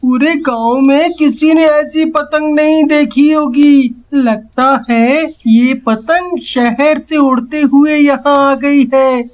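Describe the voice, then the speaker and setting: Woman as a very high-pitched earnest little boy. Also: fairly even intonation, darkish tone, highs cut off. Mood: wonder. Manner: slow, childlike, earnest. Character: small, earnest, loving five-year-old village boy.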